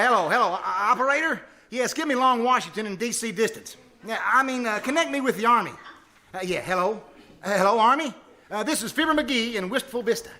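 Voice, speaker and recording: A man playing an excitable, boastful, folksy small-town husband in a live old-time radio comedy, with a sputtering, blustery manner. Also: very high voice. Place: live stage performance at a venue.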